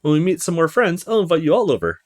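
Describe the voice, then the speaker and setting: Man putting on a bubbly, excitable young woman, pitched up. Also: fast. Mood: warm, eager. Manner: gushing, playful, overexcited.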